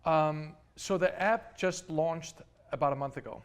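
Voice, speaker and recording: A man's voice: on the high side, measured pace, clear, resonant tone. A man from Denmark, a startup founder being interviewed, explaining things step by step with many restarts and fillers. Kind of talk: interview answers.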